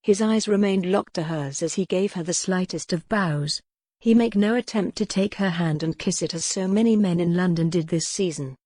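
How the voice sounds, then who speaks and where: Female audiobook narrator for historical romance, lower-pitched voice, dry close studio sound. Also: normal speed, mellow, no room sound.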